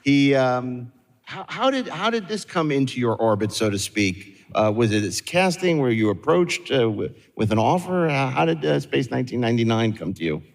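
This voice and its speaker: A man's voice: mid-range voice, very animated, normal speed, creaky. A man casually moderating a live interview as host. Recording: echoey hall with long reverb.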